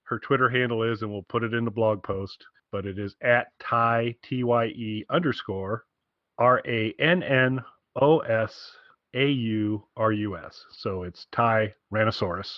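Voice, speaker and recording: Low-voiced man, rambling enthusiastic podcast chat. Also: unhurried, no room sound, lo-fi sound. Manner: enthusiastic, rambling, repeats himself. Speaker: podcast co-host. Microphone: internet call recording.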